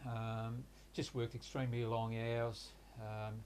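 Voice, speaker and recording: Halting older man, flat even voice. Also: mid-range voice, slight background noise. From Hungary, raised in Australia. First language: Hungarian. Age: 60s. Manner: halting, hesitant, many pauses and restarts. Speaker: interviewee recounting his life. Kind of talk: reflective life-story interview.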